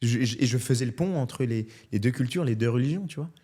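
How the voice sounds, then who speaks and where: French man in his thirties, comedian guest, candid, animated storyteller, mid-pitched voice, casual chat. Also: fast, slightly rough. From France. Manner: animated and candid, often adds "tu vois".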